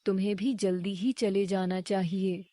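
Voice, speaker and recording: A woman's voice: mid-range voice, fairly even intonation, normal speed, warm tone, natural voice. A woman playing an exasperated, scheming wife in a cartoon story, reproachful and urging.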